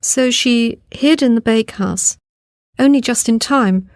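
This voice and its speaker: A woman's voice: fairly high pitch, measured pace, dry recording, studio quality. A woman narrating a scripted fairy tale as a storyteller.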